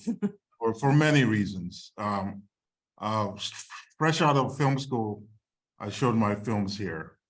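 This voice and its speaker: A man's voice: on the low side, animated, slow pace, rough recording. A man speaking as a screenwriter and filmmaker, answering questions informally on an online video call.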